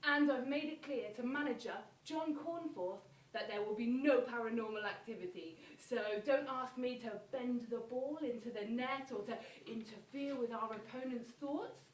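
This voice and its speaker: Woman as self-assured psychic, high and echoey. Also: normal speed, few pauses, lightly acted. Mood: self-assured, promotional. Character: self-assured celebrity psychic father, earnest football supporter. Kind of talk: theatrical monologue of quoted statement. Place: theatre space, recorded from afar. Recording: noisy, echoey, distant microphone.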